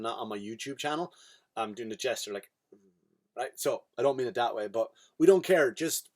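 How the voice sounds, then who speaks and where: An Irish man with an Irish accent hosting a comedy podcast, ranting in a rambling, animated monologue with big swings in pitch and loudness. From Ireland.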